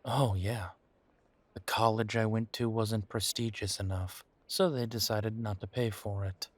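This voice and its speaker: A man playing a weary, wry werewolf boyfriend in an intimate audio roleplay, talking casually with hesitant, trailing pauses. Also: lowish voice, steady tone, no room sound. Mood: weary, bitter. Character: weary, wry male werewolf boyfriend estranged from his family. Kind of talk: intimate roleplay audio drama, conversational monologue.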